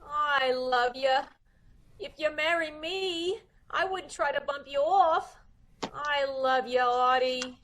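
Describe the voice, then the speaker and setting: A woman's voice: high voice, slow, fairly bright tone. A woman playing a lovesick, brassy young woman who gushes in slangy talk, in an old-time radio mystery comedy. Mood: clingy, lovestruck.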